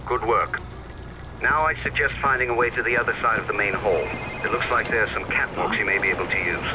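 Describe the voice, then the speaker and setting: Male actor as intelligence officer, low-pitched, crisp game briefing, heavy vehicle-like background noise. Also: fairly even intonation, fairly quick, lightly acted. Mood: focused, urgent. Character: businesslike male intelligence officer guiding an agent. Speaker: mission controller.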